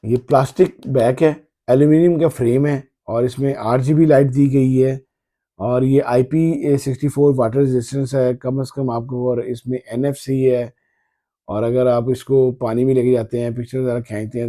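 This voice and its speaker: A man giving casual, halting commentary as a tech reviewer unboxing a phone, often repeating his phrases. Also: medium pitch, level tone, warm tone.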